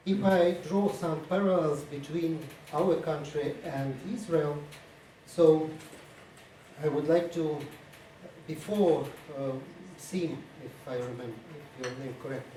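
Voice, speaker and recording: A man who is not a native English speaker gives a formal conference speech in a halting, hesitant way. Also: a little high, warm tone. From Ukraine-born, lived mostly in Estonia. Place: indoor conference room. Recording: echoey room, distant mic, faint noise.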